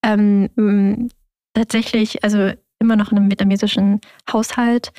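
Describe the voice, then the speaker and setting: A woman's voice: mid-range voice, level tone, normal speed, mellow, no room sound. A young woman from Germany chatting casually as a podcast host. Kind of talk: casual interview chat.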